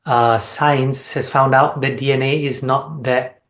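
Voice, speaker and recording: A man from Austria speaking as an interview guest, reflecting on personal experience in an earnest, measured way with deliberate phrasing. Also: mid-range voice, steady tone, a bit bright. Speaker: sound healing facilitator and interview guest.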